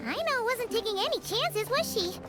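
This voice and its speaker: Tiny perky game fairy, very high childlike voice, swooping intonation, exclamatory. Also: female voice, animated, normal speed, over loud music. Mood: cheerful, curious. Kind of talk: animated video game character acting.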